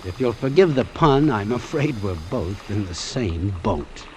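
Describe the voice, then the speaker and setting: Low, dramatic American radio-thriller hero. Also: male voice, expressive, a little slow, a little mellow, slight background noise. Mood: grim, wry. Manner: terse, hard-boiled, dramatic. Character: wary, wry male doctor narrating a sea thriller. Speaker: actor playing the narrator and lead. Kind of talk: old-time radio suspense acting, first-person narration and dialogue. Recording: vintage radio drama with music underneath.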